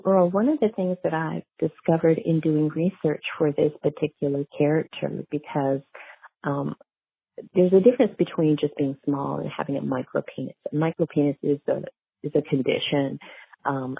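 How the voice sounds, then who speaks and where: A woman interviewed as an author, talking casually and thoughtfully with halting phrases and emphatic key words, on narrow muffled audio. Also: deep voice, creaky, breathy edge, no room sound. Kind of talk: casual conversational interview, explaining characters.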